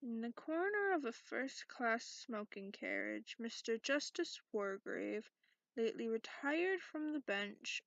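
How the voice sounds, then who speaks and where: A woman playing a sarcastic, cranky dragon in a soft-spoken ASMR bedtime reading, recorded close up in lo-fi. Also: on the high side, animated, unhurried, no echo, exaggerated voice. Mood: drowsy storytelling. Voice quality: creaky. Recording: dull lo-fi close dry recording.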